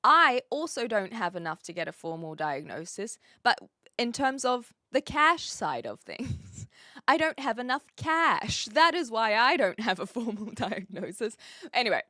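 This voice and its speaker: A woman's voice: a little high, big pitch swings, unhurried, pushed and bright, no room sound, studio quality. Chatty streamer woman, blended British-leaning accent. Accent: blended New Zealand and British-leaning. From New Zealand. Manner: chatty, rambling, laughs often, answers chat directly. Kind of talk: casual live stream chat reading viewer messages.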